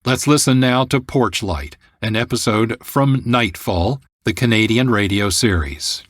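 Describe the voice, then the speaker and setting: Dry-sounding male radio host, measured delivery. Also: lowish voice, no echo. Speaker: producer and host. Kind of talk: scripted radio presenting.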